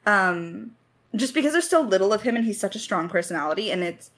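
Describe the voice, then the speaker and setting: A woman's voice: mid-range voice, expressive, a little fast, fairly bright tone. A woman chatting casually in a creator Q&A, effusive and playfully dramatic, rambling along in slangy speech. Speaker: lead writer and voice actor of an audio drama.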